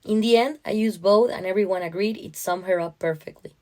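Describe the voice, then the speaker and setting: A woman reading a first-person literary short story aloud, with expressive, emotionally intense delivery. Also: medium pitch, brisk.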